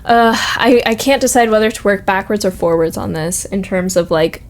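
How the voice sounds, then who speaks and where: A woman's voice: medium pitch, normal speed. A woman chatting casually as a podcast co-host, with a giggly, reactive manner. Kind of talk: casual chat.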